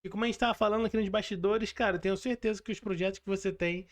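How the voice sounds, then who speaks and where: High-voiced Brazilian man hosting a podcast. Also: fairly even intonation, racing. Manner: effusive, colloquial. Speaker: podcast host. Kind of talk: casual podcast talk.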